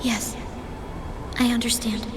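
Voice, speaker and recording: A woman's voice: fairly high pitch, little pitch movement, takes it slowly. A woman voicing a young girl in a video game, a devoted pupil who speaks earnestly and politely. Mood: obedient, earnest. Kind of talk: dramatic video game voice acting. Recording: long hall reverb. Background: music.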